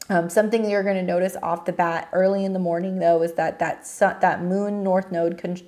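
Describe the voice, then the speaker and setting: Woman with a cold-roughened voice. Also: fairly low pitch, a little mellow. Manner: rambling, lots of fillers and restarts. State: hoarse from a cold. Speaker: astrology channel host. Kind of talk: casual weekly forecast talk.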